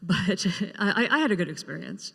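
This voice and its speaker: A woman's voice: mid-range voice, very animated, moderate pace, gravelly, fairly bright tone, breathy edge. Female writer, casual panel recollection, long hall reverb. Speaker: television writer, panel guest.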